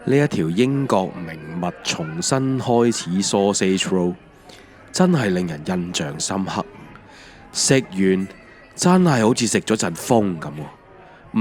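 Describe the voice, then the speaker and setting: A man with a Hong Kong accent, narrating a scripted autobiographical audio drama in a reflective, wry storytelling manner. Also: lowish voice. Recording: music under the voice. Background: background music.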